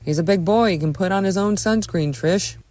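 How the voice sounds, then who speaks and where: Woman reading a nervous, worn-out father, animated. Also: sits low, slow pace, no room sound, exaggerated voice. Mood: defensive. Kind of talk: dramatic script reading.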